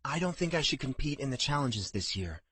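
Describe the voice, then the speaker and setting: A man's voice: mid-range voice, big pitch swings, fairly quick, fairly bright tone, husky, no echo, pitched above the performer's own voice. A man voicing a spirited young daughter who talks eagerly and chattily to her father in a storybook reading. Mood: hopeful.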